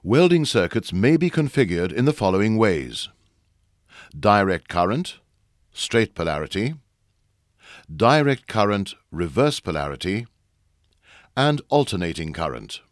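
A man reading a scripted instructional narration for a training video in a measured, formal explaining way, recorded as a close studio voice-over. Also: lowish voice, sing-song, dry recording.

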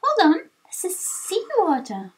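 Woman voicing an excitable, high-pitched young creature explorer. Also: a little slow, exaggerated voice. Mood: excited, awed.

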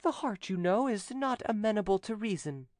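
A man's voice: sits high, expressive, normal speed, no echo, pitched above the performer's own voice. A man voicing a proud, self-satisfied, scolding widow in a storybook reading, with haughty, emphatic delivery. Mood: coolly gracious.

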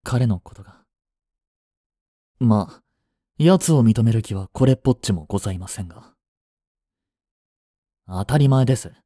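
A man's voice: fairly low pitch, expressive, moderate pace, pauses often, warm tone, no room sound, clean studio sound. A man acting a cool, devoted young male butler in a romantic audio drama, formal in manner, jealous and possessive. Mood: apologetic, sulky. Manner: formal, polite to his mistress, curt to rivals. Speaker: voice actor.

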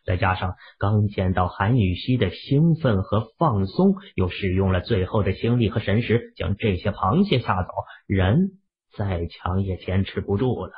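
Husky, low male narrator, emotive novel reading, dull lo-fi audio. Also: lively intonation, normal speed. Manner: emotive storytelling. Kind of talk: scripted narration of a fantasy novel. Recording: muffled lo-fi audio.

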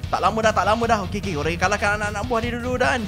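A Malaysian man hosting a gaming video with excited, animated roleplay commentary, exclaiming and drawing out words. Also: high voice, over music. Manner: animated, exclaiming, drawn-out shouts.

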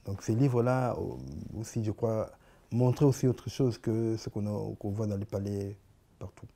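Halting Congolese man with a dark voice. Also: lowish voice, gravelly, slightly rough. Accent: Congolese French. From Congo (Kinshasa). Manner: halting, many repeated words and restarts, animated hand gestures. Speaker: artist guest. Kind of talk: interview answers. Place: living room, indoors.

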